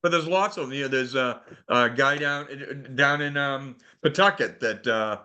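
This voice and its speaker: A man with a sharp, bright voice talks informally in a group discussion. He offers practical advice to fellow hobbyists over a conference call. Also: mid-range voice, moderate pace, clean tone. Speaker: club member giving technical advice.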